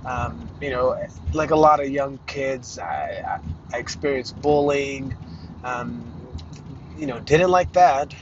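A man's voice: medium pitch, animated, slow, highs cut off, background noise. A man around thirty, raised in Arizona, hosting a casual solo podcast and rambling with lots of fillers and restarts. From Arizona, United States. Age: 30s. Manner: rambling, many fillers and restarts, self-deprecating. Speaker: podcast host.

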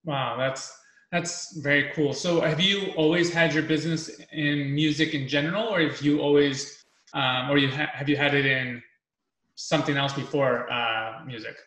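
A man in his thirties hosts a relaxed podcast chat. His voice is bright, and he rambles with many fillers and restarts. The room around him adds a clear echo. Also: fairly high pitch, moderate pace. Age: 30s. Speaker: podcast host. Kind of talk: casual interview.

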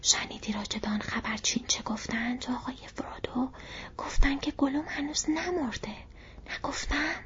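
A woman voicing a loyal, plain-spoken male hobbit servant in an audiobook, wry and curious in a hushed, breathy voice. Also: fairly high pitch, expressive, slight background noise. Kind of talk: audiobook character voice. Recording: dull, muffled lo-fi audio.

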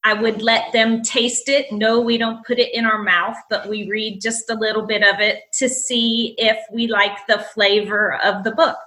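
Bright, upbeat woman school librarian. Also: fairly high pitch, little pitch movement, normal speed, few pauses, poor audio. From North Carolina, US. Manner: upbeat, enthusiastic. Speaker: school library media specialist. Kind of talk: interview answers, casual.

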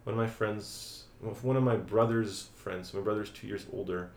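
Deep creaky-voiced young man, echoey room. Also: normal speed. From France. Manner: relaxed, with frequent ums and uhs. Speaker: interview guest, teacher. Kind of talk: casual interview answers. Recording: echoey room with faint ambient noise.

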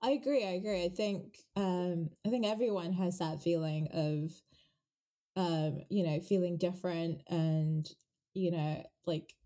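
Low, warm-voiced woman chatting. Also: unhurried. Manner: agreeable, heavy use of "you know" and "like". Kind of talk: casual conversation.

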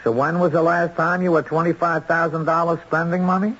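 An actor playing a bossy, streetwise small-time counterfeiter in an old-time radio drama, blunt and slangy. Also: male voice, fairly high pitch, little pitch movement, fairly quick, lightly acted. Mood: firm. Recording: dull, hissy old radio recording.

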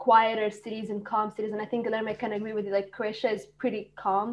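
A woman's voice: medium pitch, little pitch movement, racing, a little hiss or hum. A woman in her twenties from Saudi Arabia, a native Arabic speaker, chatting casually as a podcast guest and telling stories with lots of likes and fillers. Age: 20s. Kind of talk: casual chat.

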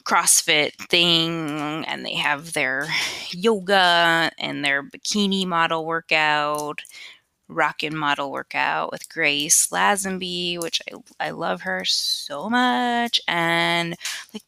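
A young woman talks on her solo podcast in a loose, rambling way, full of "like" and restarts. Her voice sits a bit low for a woman but sounds bright and forceful. The headset mic is close and dry, with no room sound. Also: takes it slowly, creaky, clean tone, dry recording. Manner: chatty, rambling, filler-heavy. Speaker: podcast host. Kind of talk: casual solo podcast chat. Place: home, at a PC. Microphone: USB computer headset.

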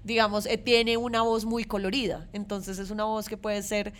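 A woman's voice: mid-range voice, level tone, normal speed. A woman with a Colombian accent co-hosting a casual, informal podcast chat, often hesitating and repeating words as she thinks. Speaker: podcast co-host. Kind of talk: casual chat about pop culture.

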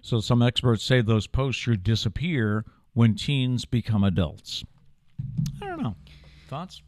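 A man's voice: medium pitch, sing-song, unhurried, darkish tone, no echo. Man in his fifties, dry close sound. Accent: American. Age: 50s. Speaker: morning show host. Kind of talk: reading news, casual talk show chat.

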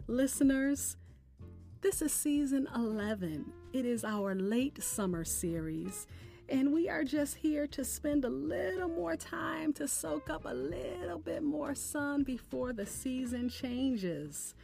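A woman hosting a podcast, delivering scripted announcements and poetry in a deliberate, expressive way. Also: high voice, creaky. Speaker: podcast host. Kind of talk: scripted show sign-off and poetry recitation. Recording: music bed under the voice. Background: music.

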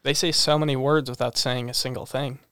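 A man's voice: mid-range voice, level tone, a little fast, bright, forceful tone, smooth, no echo. A man co-hosting a radio show, picking songs and chatting with laid-back dry humor. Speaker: radio co-host and song picker. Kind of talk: casual radio chat.

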